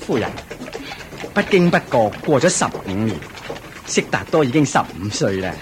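A man's voice: mid-range voice, big pitch swings, moderate pace, a little hiss or hum, natural voice. A man with a Hong Kong Cantonese accent playing a proud, doting ancient king in a radio drama, speaking in a theatrical, regal way. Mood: reflective, fond. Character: proud, doting ancient Indian king, anxious about his heir.

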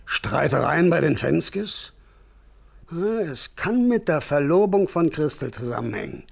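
Folksy male village informant, radio crime play; extremely gravelly, raspy voice, gossipy and well-informed. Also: a little high, very animated, a little slow, full character voice. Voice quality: gravelly, husky. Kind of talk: German radio crime drama acting.

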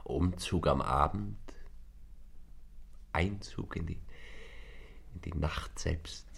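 A man playing a solemn stranger in love in a radio play, husky and intense. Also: deep voice, slow pace, heavily acted. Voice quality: gravelly, husky. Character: yearning male lover, a solemn foreign stranger.

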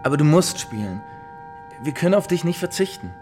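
Bossy, eager young gentleman, music behind. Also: fairly high pitch, big pitch swings, brisk. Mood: cajoling, insistent. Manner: insistent, breezy, cajoling. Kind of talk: radio drama acting.

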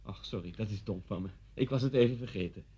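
A man's voice: fairly low pitch, fast talker, warm tone, breathy edge. An actor in a Dutch radio play, playing a talkative, coolly unsettling policeman who speaks politely and insinuatingly. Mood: chatty, needling. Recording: dull, muffled old radio recording.

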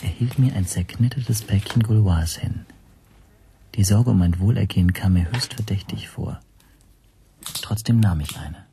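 A man's voice: lowish voice, unhurried, mellow, a little hiss or hum. An actor playing an anxious stateless man who narrates his own ordeal in a brooding, self-questioning way for a German radio drama. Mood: wary. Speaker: first-person narrator and protagonist.

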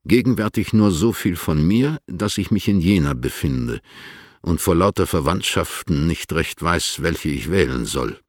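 An actor playing an older, courtly poet who reads his letters formally and deliberately in a literary radio play, with a gravelly, husky voice. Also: sits low, lively intonation, no echo, clean studio sound. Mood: polite, reserved. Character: older male poet, measured, courtly, reserved letter-writer.

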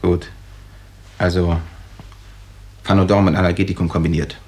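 An actor playing a businesslike hospital doctor in a 1950s radio drama, with a gravelly voice. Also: male voice, low voice, little pitch movement, moderate pace, darkish tone, a little hiss or hum, close to an everyday voice. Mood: brisk, businesslike. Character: businesslike male hospital doctor giving instructions.

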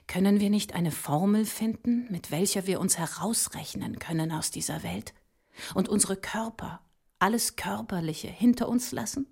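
An actress playing a visionary woman mathematician, solemn and urging, with husky, breathy speech in a poetic audio drama. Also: mid-range voice, moderate pace, a little mellow. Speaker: performer in an experimental audio drama. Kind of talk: poetic scripted dialogue.

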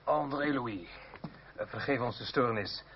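An actor playing a polite, earnest French revolutionary gentleman in a radio play, formal and apologetic. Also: medium pitch, a little slow, natural voice. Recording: muffled old radio play, faint noise.

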